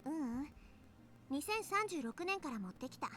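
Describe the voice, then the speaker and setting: A woman's voice: high-pitched, very animated, fast, a bit bright. A woman playing a breezy young time traveler from the future in a visual novel game. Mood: matter-of-fact. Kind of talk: Japanese visual novel voice acting.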